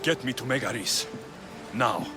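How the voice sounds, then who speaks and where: An actor playing a brooding, determined young Greek mercenary in a video game, speaking in a terse, understated way. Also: male voice, mid-range voice, animated, normal speed, over music, natural voice. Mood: grim, hushed. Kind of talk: video game cutscene acting.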